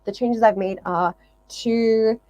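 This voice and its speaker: A woman's voice: on the high side, steady tone, takes it slowly, a little mellow, muffled recording. Emphatic woman fitness vlogger. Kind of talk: casual explanatory talk to viewers.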